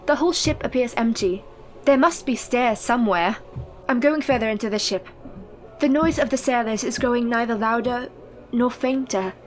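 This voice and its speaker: High-voiced, earnest Victorian heroine played by a woman. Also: moderate pace, soft background music, natural voice. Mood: hushed, searching. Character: Victorian wife under vampire's curse, entranced, brave. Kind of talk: radio play acting.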